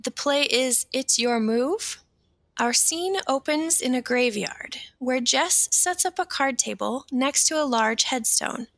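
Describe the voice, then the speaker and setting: A woman's voice: sits high, lively intonation, slow pace, a bit bright, no echo, heavily acted. A woman reading scripted scene-setting narration for a radio play.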